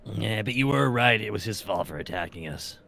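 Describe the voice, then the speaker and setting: An incredulous, brash fantasy adventurer acted by a man at a game table. The voice is heavily creaky and very forceful, with a hard edge. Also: fairly low pitch, lively intonation, a little fast, full character voice.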